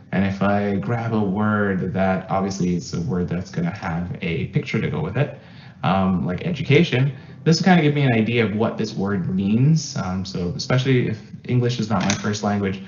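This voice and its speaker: A man giving a relaxed school tech demo for parents, with lots of "uh" and "um" and words said twice for emphasis. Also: lowish voice, echoey room, muffled recording, slight background noise. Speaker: school technology presenter. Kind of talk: screen-share software demo.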